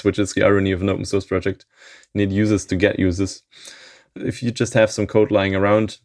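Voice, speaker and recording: A man in his thirties, a software maintainer being interviewed, talking in a thoughtful way and chuckling often mid-sentence. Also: low voice, creaky, dry recording, clean studio sound. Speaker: open source maintainer, interview guest. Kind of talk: casual interview chat.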